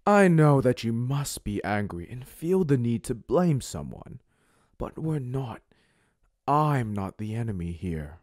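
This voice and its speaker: A man voicing a commanding werewolf pack leader in an amateur roleplay, calm and firm. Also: mid-range voice, a little slow, no echo, clean studio sound.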